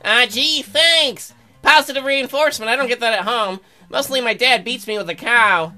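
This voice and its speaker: A man's voice: extremely high pitch, normal speed, sharp tone, soft background music, voice pushed up, heavily acted. A man voicing a naive, eager young boy with a gushing, folksy manner in a comic game playthrough.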